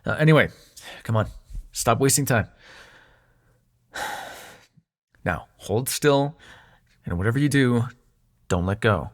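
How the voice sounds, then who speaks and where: A man playing a snarky but secretly soft-hearted young demon prince in an intimate audio roleplay, teasing the listener and turning hesitant when showing affection. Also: medium pitch, animated, slow, long pauses. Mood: playful, sardonic.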